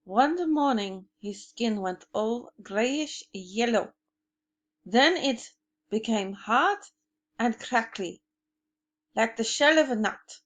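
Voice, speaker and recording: A woman reading aloud as an old grandmother who tells tales with calm, serious conviction. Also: fairly high pitch, takes it slowly. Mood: grave. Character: stern old grandmother, grave and matter-of-fact.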